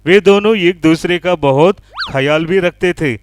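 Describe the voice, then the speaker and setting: A man's voice: sits high, a little slow, a little hiss or hum. A man narrating a scripted children's animal story.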